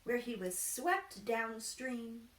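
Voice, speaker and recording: A woman reading a children's storybook aloud in an expressive, deliberate, theatrical way. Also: a little high. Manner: animated, deliberate, acts out dialogue. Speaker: costumed storytime reader. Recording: echoey room, distant mic, faint noise.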